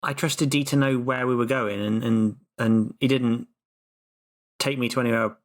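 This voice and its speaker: A man's voice: mid-range voice, fairly even intonation, normal speed. Rambling man playing a tabletop game. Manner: flustered, rambling excuses. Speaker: player. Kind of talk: casual tabletop play in character.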